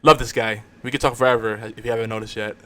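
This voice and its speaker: A man's voice: lowish voice, fairly even intonation, fast, sharp tone. A man hosting a podcast in casual chat, talking like an enthusiastic fan. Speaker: podcast host.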